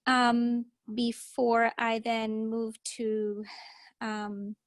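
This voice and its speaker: A woman in her thirties from Germany, speaking English with a German accent, introducing herself thoughtfully on a podcast with frequent pauses. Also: fairly high pitch, flat delivery, dry recording. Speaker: podcast co-host. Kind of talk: casual chat.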